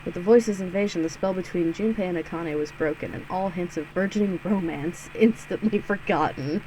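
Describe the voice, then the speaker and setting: A woman's voice: on the low side, a little fast, warm tone, slight background noise, low-quality recording. A woman hosting a let's play, reading a visual novel aloud with sarcastic, giggly asides and free swearing. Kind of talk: casual, joking read-through with asides.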